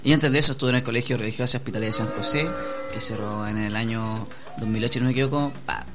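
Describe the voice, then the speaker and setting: A man's voice: mid-range voice, fast. Chilean man chatting casually over music. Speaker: interview guest. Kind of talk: casual chat.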